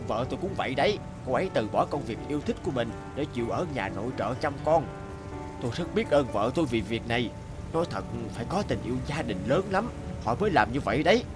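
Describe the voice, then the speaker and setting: A man's voice: medium pitch, sing-song, quick pace, music in the background, close to an everyday voice. A man voicing a patient, sensible office colleague in a cartoon, explaining things earnestly and with measure. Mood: earnest, reproving. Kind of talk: animated moral-tale voice acting.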